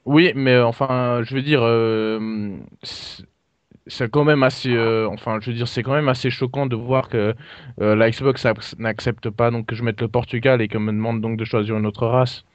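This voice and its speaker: A man's voice: medium pitch, fairly even intonation, dry recording. Hesitant man on a dull-sounding prank call. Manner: hesitant, halting, feigning puzzled offence. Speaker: prank caller posing as a customer. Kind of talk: prank phone call to customer support. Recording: dull lo-fi call recording, dry.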